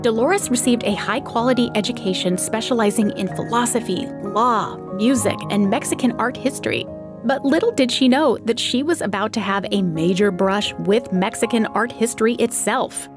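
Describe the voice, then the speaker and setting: A woman's voice: fairly high pitch, normal speed, music in the background. A woman hosting an art history podcast, reading a scripted narration in a conversational way with wry asides. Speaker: podcast host.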